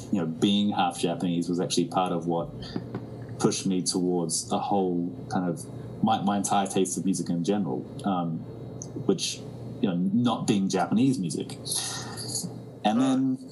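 Low-voiced thoughtful man from New Zealand. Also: a little mellow, a little hiss or hum, rough recording. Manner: thoughtful, many restarts and fillers. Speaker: musician guest. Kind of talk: reflective interview talk.

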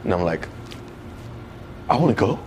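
A man's voice: low voice, big pitch swings, normal speed, darkish tone, a little hiss or hum. A man, chatting casually and retelling his experiences in slangy, quoted dialogue. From Netherlands. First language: Dutch. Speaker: guest, professional dancer. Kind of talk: casual conversational storytelling.

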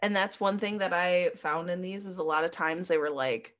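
A woman's voice: fairly low pitch, fairly even intonation, moderate pace, a bit bright. A woman co-hosting a podcast in a playful, teasing chat, laughing often as she tells a true-crime story. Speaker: podcast co-host.

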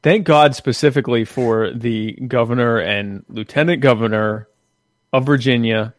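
A man chats casually as a podcast host in a dry, close recording. His delivery is wry and jokey, wandering and halting as he goes, at a medium pitch. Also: no room sound. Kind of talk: casual conversational podcast chat.

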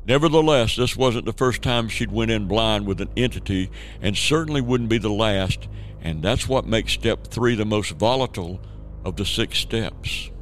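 A man narrating an audio drama in a measured storytelling way. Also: lowish voice, slight background noise. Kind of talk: scripted narration.

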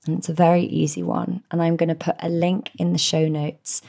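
Soothing low-voiced woman, gravelly edges. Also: little pitch movement, brisk. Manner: gentle, soothing, heartfelt. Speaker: podcast host. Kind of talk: reflective solo podcast address.

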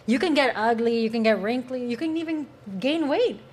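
A woman's voice: on the high side, moderate pace, darkish tone, husky. A woman on a podcast panel speaking earnestly and emphatically. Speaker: panel guest. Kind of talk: casual panel chat.

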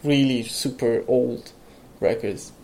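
A young man who grew up in Iran, speaking English as a guest in a casual interview, halting with many fillers and restarts. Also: mid-range voice, gravelly, a little mellow. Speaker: guest, electronic music producer.

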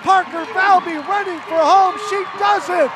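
A man giving live race commentary as a sports announcer, in an excited, raised voice. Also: very high voice, unhurried. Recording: loud crowd, noisy indoor event clip. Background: roaring crowd.

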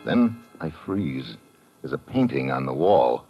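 A tough American adventurer from a vintage radio drama. His voice is very low, with terse, sardonic, world-weary delivery. The old recording is dull, with music clearly behind it. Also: sing-song, measured pace, full character voice. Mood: tense narrating. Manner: hard-boiled, terse, sardonic. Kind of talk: 1950s radio drama acting, first-person noir narration.

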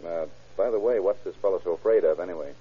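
An actor playing an insistent, dry police detective in an old radio crime drama. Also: male voice, low-pitched, quick pace, slightly rough. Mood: businesslike, wry. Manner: insistent, wry, businesslike. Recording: muffled lo-fi old radio recording.

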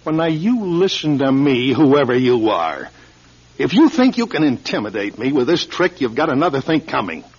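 Earnest middle-aged man, animated radio drama. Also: mid-range voice, normal speed, slightly rough. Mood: indignant, uneasy. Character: troubled middle-aged solid citizen running for mayor. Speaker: lead actor in radio drama. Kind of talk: classic radio drama acting. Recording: dull lo-fi radio recording, music behind.

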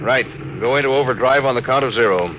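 An actor playing a short-tempered but loyal spaceship captain in a classic radio drama, brusque and commanding. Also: male voice, mid-range voice, fast talker, close to an everyday voice. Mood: businesslike command. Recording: noisy old radio recording.

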